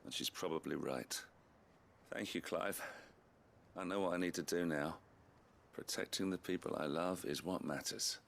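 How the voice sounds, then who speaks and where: An actor playing a loyal, plain-spoken army captain in a fantasy game, sincere and courteous. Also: male voice, deep voice, moderate pace, vocal fry, pauses often, fairly bright tone, a little hiss or hum. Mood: conflicted, earnest. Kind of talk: scripted game dialogue.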